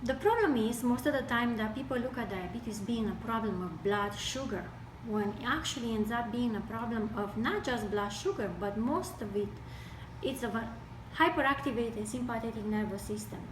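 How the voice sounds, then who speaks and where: A woman with a Romanian accent explains health matters in a fairly high voice. Her phrasing is deliberate and broken into short pieces. She sounds some way from the mic in an echoey room. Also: expressive. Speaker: health speaker with medical training. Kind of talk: explanatory health talk to camera. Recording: distant mic, echoey room, noisy background.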